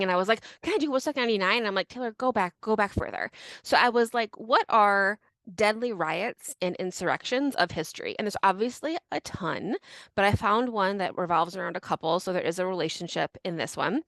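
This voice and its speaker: A woman's voice: medium pitch, lively intonation, a little fast, fairly bright tone, dry recording. A young woman co-hosting a podcast, casually telling a history story with many fillers and frequent laughs. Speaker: podcast co-host.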